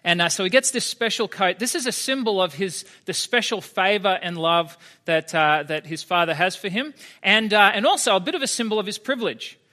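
An Australian man in his thirties preaches in a loose, conversational way, often putting questions to the congregation. His voice sits high for a man and sounds bright and forward. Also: brisk, few pauses. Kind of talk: sermon.

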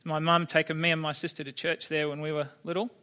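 A man's voice: on the high side, level tone, fast talker, pushed and bright. An Australian man in his thirties giving a conversational sermon, often asking the congregation questions. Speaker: preacher.

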